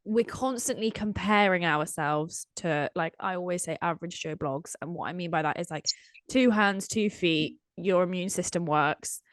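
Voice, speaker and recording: A woman hosts a podcast interview with a slightly croaky voice. Her pitch sits low for a woman, and the sound is dry and close. She asks earnest, drawn-out questions, padded with "like". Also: dry recording. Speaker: podcast host.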